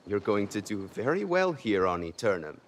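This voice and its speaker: A man voicing a friendly, encouraging Syrian craftsman in a video game, effusive and warm. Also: fairly low pitch, big pitch swings, normal speed, slight background noise. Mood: warm, grateful. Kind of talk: video game character dialogue.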